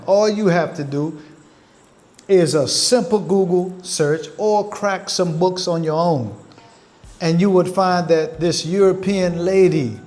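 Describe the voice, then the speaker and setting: An earnest man speaks to his viewers as family in a livestream, with a high voice. He takes his time and weighs each phrase. Soft music plays quietly behind him. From Caribbean. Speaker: channel host.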